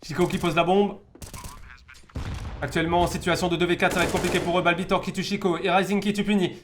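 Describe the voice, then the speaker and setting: A man's voice: high voice, little pitch movement, fast talker. A man from France giving informal live commentary on a video game match, repeating words as he searches for the next phrase. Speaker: esports caster. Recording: noisy game stream with gunfire. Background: game gunfire and clicks.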